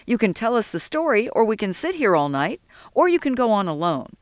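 A narrator plays a stubborn skeptical man in an audiobook story. His voice is pushed high and swings around, full of exasperation and sarcastic scorn. It is recorded dry and close. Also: very animated, a little fast, dry recording, close to an everyday voice. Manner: indignant, scornful, emphatic.

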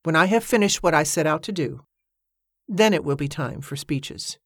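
A woman voicing a determined widowed mother who speaks in a steady, formal way. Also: sits low, normal speed, no room sound, studio quality. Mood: resolute.

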